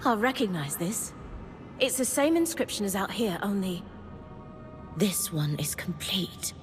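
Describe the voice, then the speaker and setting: An actress playing an earnest, curious historian in a video game, speaking in a measured, thoughtful way and giving ancient words gravity. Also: female voice, mid-range voice, big pitch swings. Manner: measured, contemplative, reading aloud with gravity. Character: earnest, curious woman historian and outsider studying ancient inscriptions. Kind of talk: video game character dialogue. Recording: long hall reverb, music behind.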